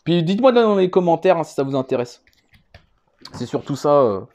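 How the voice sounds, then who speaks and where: A man's voice: fairly high pitch, sing-song, a little fast, darkish tone, a little hiss or hum. A man giving a casual, unscripted show-and-tell as a vlogger, speaking hesitantly and rambling, often repeating himself. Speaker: vlogger presenting a card collection.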